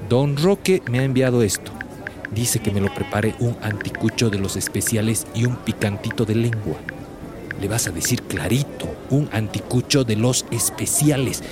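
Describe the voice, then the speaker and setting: An actor playing a crooked, pushy market butcher in a radio drama, with a Bolivian accent and a slangy, singsong vendor's way of talking that wheedles and bullies. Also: male voice, mid-range voice, lightly acted. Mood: instructing. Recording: clear outdoor street noise behind.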